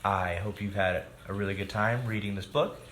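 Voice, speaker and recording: A man's voice: lowish voice, fairly even intonation, moderate pace, fairly bright tone. A man reading a children's storybook aloud expressively and speaking directly to his young listeners. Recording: echoey room with background noise.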